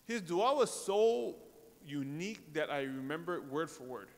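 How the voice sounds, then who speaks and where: A man giving an informal sermon to a congregation, talking in a conversational way with pauses, fillers and rhetorical questions. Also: fairly high pitch, animated. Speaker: religious speaker. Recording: reverberant hall.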